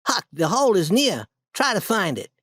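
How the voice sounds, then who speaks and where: A storybook reader voicing an eager, bold boy adventurer in a raised, excited voice. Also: mid-range voice, normal speed, slightly rough, no echo, studio quality, voice pushed up. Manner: excited, insistent.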